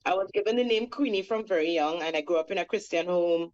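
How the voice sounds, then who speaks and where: A woman's voice: lowish voice, fast, darkish tone, lo-fi sound. A woman in her forties from Trinidad with a Trinidadian accent, a reggae singer guest recounting her life in a reflective, storytelling way with many fillers. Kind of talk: radio interview.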